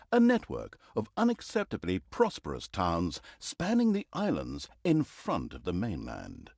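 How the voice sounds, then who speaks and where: An actor playing a sardonic, theatrical narrator of a villainous fantasy game tale, with a mock-grandiose storybook delivery. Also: male voice, fairly low pitch, darkish tone, dry recording, clean studio sound, exaggerated voice. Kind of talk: scripted comic storybook narration.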